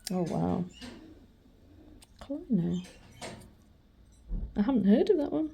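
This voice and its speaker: A woman's voice: mid-range voice, big pitch swings, takes it slowly, creaky, mellow, a little hiss or hum. A woman casually reading out old adverts in short murmured remarks, often half-whispering. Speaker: vlogger. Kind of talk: casual commentary while reading aloud old newspaper adverts.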